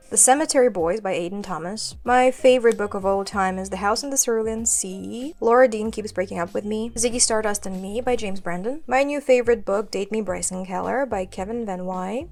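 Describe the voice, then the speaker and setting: A woman who speaks Kazakh as her first language gives a chatty bookshelf tour at home, listing titles with a laugh now and then. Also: medium pitch. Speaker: book vlogger. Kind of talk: casual bookshelf tour narration. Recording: faint music under voice. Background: soft background music.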